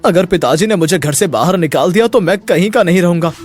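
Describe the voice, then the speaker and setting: A man's voice: high-pitched, brisk, lightly acted. A man voicing a selfish, domineering young drunkard husband in a dramatized moral story. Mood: wheedling.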